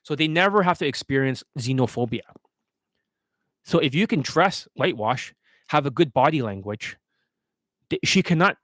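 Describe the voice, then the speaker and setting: A man's voice: mid-range voice, expressive, moderate pace, pauses often, a bit bright, dry recording. A man speaking as a dating coach on a livestream, answering questions in a blunt, instructional way with frequent rhetorical check-ins.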